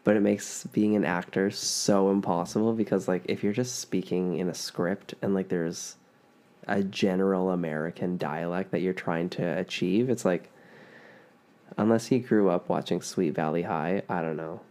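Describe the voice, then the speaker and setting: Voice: sits low, steady tone, moderate pace, a little mellow. A podcast host from Ontario with a Canadian accent, chatting casually and telling stories with playful emphasis on words. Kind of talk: casual chat.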